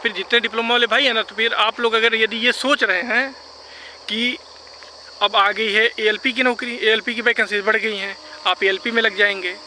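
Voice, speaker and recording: Guy, really sharp high voice, arguing his point informally with english words mixed in, faint insects outside. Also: a little hiss or hum, low-quality recording. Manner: insistent, repeats points; mixes English words into speech. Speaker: vlogger advising exam candidates. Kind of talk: informal argumentative advice talk.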